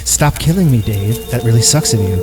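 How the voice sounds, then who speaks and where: A man's voice: mid-range voice, animated, a little fast, over music. A man chatting casually and comedically on a podcast, joking around and often slipping into silly voices. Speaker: podcast co-host. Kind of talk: casual comedic banter over a read-aloud story.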